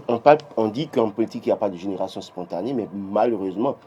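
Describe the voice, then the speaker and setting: A man's voice: lowish voice, animated, quick pace, a little mellow, highs cut off, slight background noise. A man with a Beninese French accent giving political commentary on a morning TV show, emphatic and full of restarts. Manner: emphatic, many restarts, rising when insisting. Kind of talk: morning TV press review.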